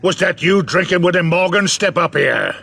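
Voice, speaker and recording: Audio drama role: crafty, jovial old sea cook, gravelly and bright, salty dialect. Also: male voice, sits high, expressive, normal speed, soft background music, heavily acted. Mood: stern, blustering. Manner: hearty, salty seafarer's dialect. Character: jovial, crafty one-legged old sailor and innkeeper. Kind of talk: dramatised audio adventure acting.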